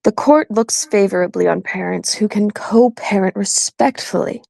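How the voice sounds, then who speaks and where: A woman playing a composed, pragmatic divorce attorney in an audio play, speaking in a measured, firm and reassuring way. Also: mid-range voice, gravelly, warm tone, no room sound. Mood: businesslike. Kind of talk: community theatre audio play acting.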